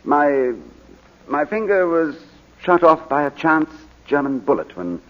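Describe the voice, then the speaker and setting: An actor playing a proud, defensive war veteran on the witness stand in a 1940s radio drama, halting, stammering and indignant. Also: male voice, fairly high pitch, very animated. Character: defensive, proud male war veteran under cross-examination. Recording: muffled old 1940s radio broadcast.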